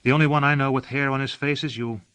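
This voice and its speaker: A man voicing a gentle, teasing young woman in a light, coaxing tone. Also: medium pitch, fairly even intonation, quick pace, smooth, no echo, pitched above the performer's own voice, close to an everyday voice.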